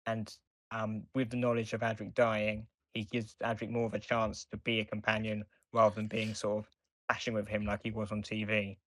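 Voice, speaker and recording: A man co-hosting a casual podcast discussion, explaining in a halting, rambling way with restarts. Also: on the low side, monotone, dry recording, rough recording. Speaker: podcast co-host.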